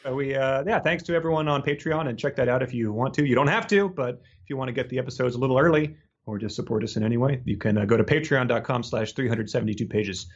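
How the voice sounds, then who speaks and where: Man, medium pitch, podcast co-host, casual book chat, halting delivery, slightly muffled lo-fi recording. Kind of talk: casual book discussion with read-aloud passages.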